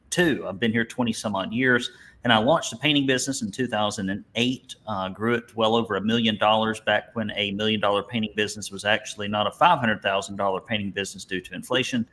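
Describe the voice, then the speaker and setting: A man's voice: lowish voice, fairly even intonation, a little fast, pushed and bright. A man with an Alabama Southern accent presents a webinar conversationally, in a folksy, joking way with colorful turns of phrase. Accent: Alabama Southern American. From Alabama, United States. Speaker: webinar instructor and business coach.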